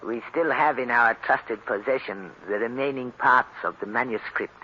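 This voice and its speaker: An actor playing an enigmatic, courteous foreign professor in an old radio drama, with formal, measured and deliberate phrasing. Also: male voice, mid-range voice, bright, forceful tone, close to an everyday voice. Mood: foreboding. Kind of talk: 1940s radio drama acting. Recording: noisy, dull old radio recording.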